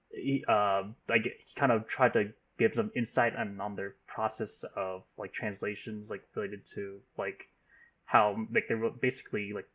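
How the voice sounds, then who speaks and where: A man hosting a casual podcast discussion, speaking haltingly with repeated false starts and stammers. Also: on the low side, fairly bright tone. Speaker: podcast host.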